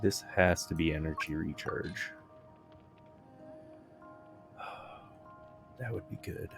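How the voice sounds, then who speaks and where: A man with a deep voice gives casual gameplay commentary, rambling as he thinks aloud. Faint game music plays behind him. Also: slow pace, gravelly. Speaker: game streamer. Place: live stream with game audio behind.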